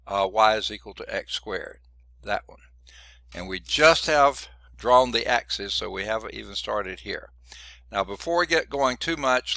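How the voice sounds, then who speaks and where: Stuffy-nosed math teacher guy, kinda low and gravelly, dry close sound, rambles and keeps saying okay. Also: pushed and bright, dry recording. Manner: chatty, digressive, checks in with okay. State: congested. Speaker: math instructor. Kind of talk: classroom lecture. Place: classroom.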